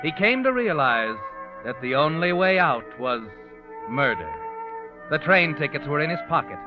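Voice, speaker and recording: Casting: ominous, taunting radio suspense narrator, higher-set American voice, music underneath, muffled vintage sound. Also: male voice, very animated. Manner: ominous, deliberate, insinuating. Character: sinister, knowing male narrator taunting the guilty. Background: dramatic music.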